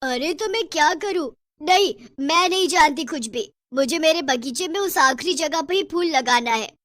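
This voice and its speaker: A woman's voice: high-pitched, expressive, normal speed, a bit bright. A woman voicing a gloating, bossy, selfish female witch with a taunting, cackling manner in a horror cartoon story. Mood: demanding, scheming.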